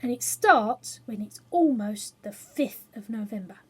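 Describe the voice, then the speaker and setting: A woman with an English accent and a fairly high, breathy, husky voice. She talks to children in a chatty, simple way as a storyteller, moving between informal asides and reading from a storybook. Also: animated, a little slow. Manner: chatty, self-deprecating, explains things simply for children. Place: small spare room study at home.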